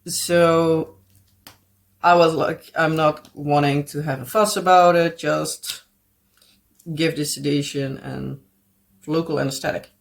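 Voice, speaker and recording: A woman's voice: deep voice, fairly even intonation, slow pace, creaky, long pauses. A Dutch woman with a Dutch accent chatting casually at home as a craft vlogger, rambling and searching for English words with frequent little laughs. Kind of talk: casual chat while crafting.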